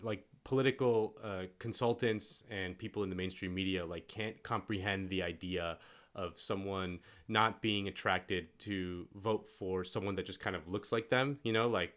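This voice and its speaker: A man's voice: deep voice, fairly even intonation, a little slow, a bit bright. A man hosting a casual political talk show, wry and blunt, often laughing. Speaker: host.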